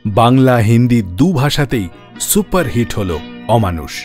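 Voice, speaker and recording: A man hosting a radio show, telling a story in scripted narration and dropping his voice low on key names for drama. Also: lively intonation, normal speed, darkish tone, faint music behind.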